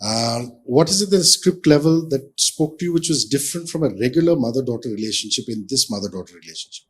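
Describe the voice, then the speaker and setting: A man's voice: medium pitch, animated, quick pace, breathy edge. A man hosting a celebrity interview, effusive and full of compliments. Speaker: interviewer host.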